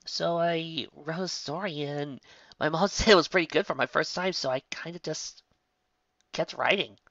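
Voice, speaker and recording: A bashful young dragon boy, played by a narrator, a little raised in pitch and bright. He talks casually and hesitantly, like a kid in a cartoon story. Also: normal speed, no echo, lo-fi sound, natural voice.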